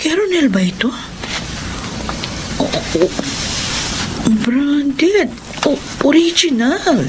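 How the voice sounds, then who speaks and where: A woman's voice: high voice, very animated, very slow, long pauses, noisy background, heavily acted. An actress playing a nagging, suspicious young wife and mother in a Tagalog radio drama. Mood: uneasy, musing. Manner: exasperated, scolding.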